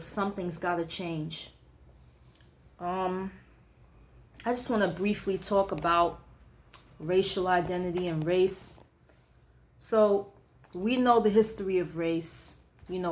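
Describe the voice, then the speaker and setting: Reflective woman musing late at night. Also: medium pitch. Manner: thoughtful, conversational, frequent "you know" and "um"; reads her own writing aloud with more force. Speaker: poet sharing her writing.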